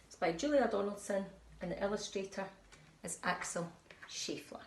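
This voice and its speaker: Expressive woman reading a picture book. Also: mid-range voice, moderate pace, noisy background. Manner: expressive, sing-song storytelling. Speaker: nursery teacher reading aloud. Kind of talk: storybook read-aloud for young children. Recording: echoey room.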